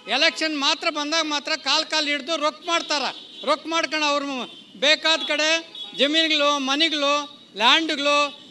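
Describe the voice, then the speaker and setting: A man's voice: extremely high pitch, sharp tone, a little hiss or hum. A man, pleading and impassioned as he repeats his complaints in a street interview. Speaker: local resident pleading for farmers and the poor. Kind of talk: street vox pop complaint.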